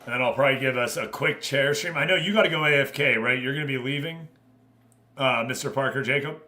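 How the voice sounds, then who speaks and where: A man's voice: mid-range voice, fast, bright, forceful tone. A man hosting an online football management game league, chatting casually and informally with his viewers as he commentates.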